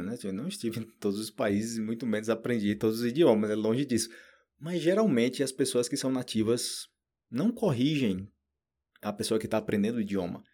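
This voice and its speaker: Husky-voiced Brazilian man teaching informally. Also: medium pitch, darkish tone. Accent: Brazilian Portuguese. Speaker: Portuguese teacher and podcast host. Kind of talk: informal explanatory talk to learners.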